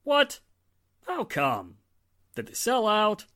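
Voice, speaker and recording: A man's voice: high voice, huge pitch swings, slow, sharp tone, exaggerated voice. A man acting a jovial, teasing, blustery small-town 1940s husband in a radio drama, jocular and full of exclamations. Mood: incredulous.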